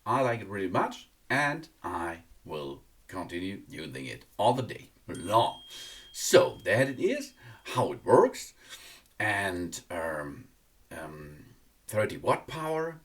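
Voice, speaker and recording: A man who is not a native English speaker gives a casual product review in an enthusiastic but halting way, repeating words. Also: lowish voice. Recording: distant microphone.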